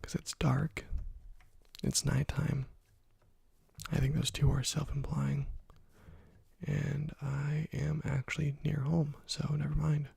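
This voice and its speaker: A man giving soft-spoken ASMR gaming commentary in a hushed, breathy, partly whispered voice, casual and musing, close to the microphone. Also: on the high side, monotone, slow pace, long pauses, no room sound. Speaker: gaming video host.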